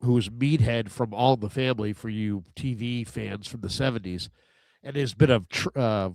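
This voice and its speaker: A man's voice: mid-range voice, moderate pace, creaky, a little mellow, no room sound. A man co-hosting a casual sports talk show. He sounds nasal and stuffed up from a cold, and he hesitates while searching for words.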